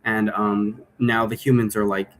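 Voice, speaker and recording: A man's voice: on the low side, little pitch movement, unhurried, a bit bright. A man giving a rambling, informal explanation, thinking aloud and wandering between points. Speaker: game creator explaining role-play rules. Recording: noticeable background noise.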